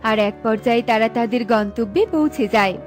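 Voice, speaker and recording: A woman narrating a children's cartoon story in a calm, steady storybook style. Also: fairly high pitch, fast. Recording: with light background music.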